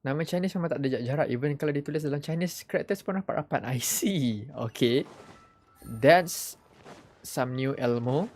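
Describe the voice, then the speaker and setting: Casting: Malaysian woman streamer, low register, casual bilingual commentary over faint game music. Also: fairly quick, a little mellow. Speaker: game livestreamer. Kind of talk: casual livestream commentary, mixing Malay and English. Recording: game music playing behind the voice.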